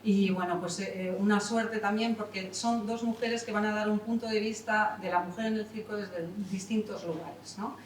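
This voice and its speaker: A woman's voice: medium pitch, steady tone, normal speed, hardly any pauses, a little hiss or hum. A woman, an artistic director introducing a conference panel in a chatty way, full of fillers and self-corrections. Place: theatre hall. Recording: echoey hall, voice from far off.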